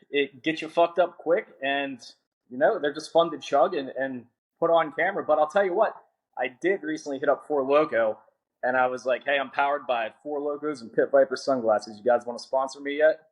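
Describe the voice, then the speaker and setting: A man's voice: medium pitch, normal speed, rough recording. A young adult man, an author guest on a podcast, talking in a laid-back, joking way and swearing freely. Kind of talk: casual podcast interview.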